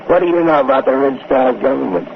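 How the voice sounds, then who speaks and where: An actor playing a menacing masked kidnapper in a 1950s radio drama, curt, commanding and scornful. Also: male voice, on the high side, creaky, heavily acted. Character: menacing masked kidnapper, cold, bullying crime boss. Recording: muffled, hissy 1950s radio recording.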